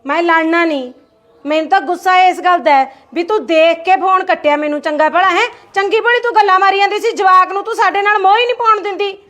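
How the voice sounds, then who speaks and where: A woman's voice: extremely high pitch, fairly even intonation, a little fast, fairly bright tone. A woman, acting an aggrieved sister-in-law who complains and taunts with rhetorical questions in a family skit. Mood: taunting. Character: aggrieved Punjabi aunt, taunting and sulky.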